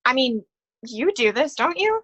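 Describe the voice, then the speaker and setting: Actress as apologetic nervous woman, high bright voice, dry close sound, earnest hesitant phrasing, stage play. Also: very animated, measured pace, dry recording, lo-fi sound, exaggerated voice. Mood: eager. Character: polite, apologetic, nervous woman accountant newcomer.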